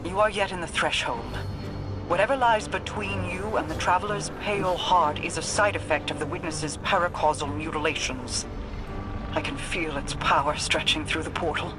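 A woman's voice: lowish voice, moderate pace, natural voice, poor audio. Regal, grave mystic queen played by a woman. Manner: formal, solemn, dramatic. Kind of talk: video game voice acting. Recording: loud game music behind.

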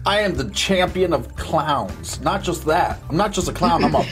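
A man's voice: on the high side, big pitch swings, moderate pace. A man giving a joking mock lecture on a group stream, crude and giggly. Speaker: streamer giving a joke slideshow talk. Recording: music playing behind.

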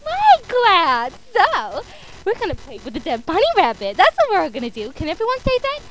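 A woman's voice: extremely high pitch, wildly swooping pitch, normal speed, full character voice, poor audio. A woman playing an unhinged, vicious kindergarten teacher in a comedy sketch, theatrical and cruel. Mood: spiteful. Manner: theatrical, mock-sweet turning to shrieking.

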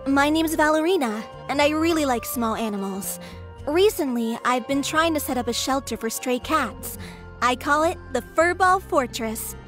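A high, earnest young cat rescuer. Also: female voice, a bit bright. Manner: earnest and fretful. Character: earnest young woman running a cat shelter, anxious. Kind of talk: video game voice acting.

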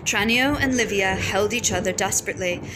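A woman reading a children's picture book aloud like a storyteller, with measured, deliberate phrasing and pauses. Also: medium pitch, heavy background noise. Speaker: read-aloud teacher and storyteller. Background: music behind the voice.

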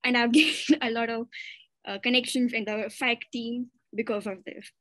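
A young adult woman, an honours student, giving earnest, soft-spoken advice to future students. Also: fairly high pitch, fairly even intonation, normal speed, no room sound.

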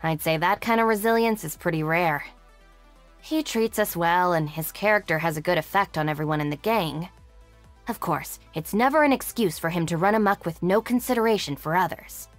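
Actress doing a composed young gang second-in-command from a video game, lowish voice, dry n sure of herself, music behind. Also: female voice, normal speed, natural voice. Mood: fond but stern. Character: capable, composed young female deputy gang leader. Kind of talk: scripted game character monologue. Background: background music.

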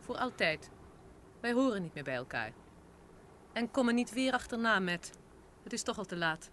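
A cold, distant woman under a strange power in an old radio drama. She speaks calmly and without feeling, her voice swinging between high and low. Soft hiss lies behind her. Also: moderate pace. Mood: coldly resolute. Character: cold alien-controlled woman, Matt's lost wife. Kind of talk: 1970s radio drama acting.

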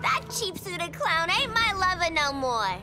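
An actress playing a zany, bratty young villainess in a video game, slangy, mocking and playful. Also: female voice, very high voice, big pitch swings, measured pace, music in the background, heavily acted. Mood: cheeky.

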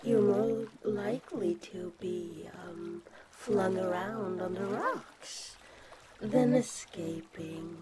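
A woman playing a giant, possessive female kraken in a sweetly menacing, coaxing ASMR roleplay, with drawn-out, intimate delivery. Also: mid-range voice, over a noisy crowd. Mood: gently menacing.

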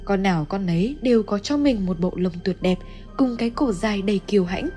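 A woman's voice: medium pitch, animated, a little fast, mellow. A woman reads a scripted story recap as narrator. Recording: music under the voice. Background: background music.

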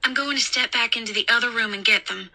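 A woman's voice: fairly high pitch, fast, bright, forceful tone. A woman voicing a young female babysitter in an audiobook story, flustered but soothing and reassuring. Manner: reassuring, urgent.

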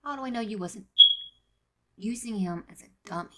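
A woman voicing a teasing, wistful teenage boy in a fan audio drama, breathy and often near a whisper, in a confiding manner. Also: mid-range voice, normal speed, close to an everyday voice.

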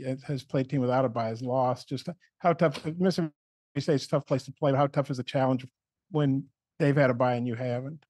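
A man's voice: medium pitch, darkish tone, no room sound. A man working as a reporter, asking rambling, conversational questions at a press conference. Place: indoor press room.

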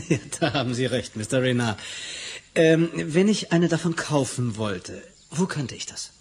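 An actor playing a self-assured crime-fighting investigator in an audio drama, probing and dryly ironic. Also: male voice, mid-range voice, lively intonation, measured pace, darkish tone. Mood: politely probing. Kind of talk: German audio drama acting.

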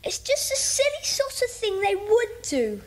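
Blunt British schoolboy, high voice, slowish delivery, children's audio drama. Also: female voice, wildly swooping pitch, heavily acted. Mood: grumbling.

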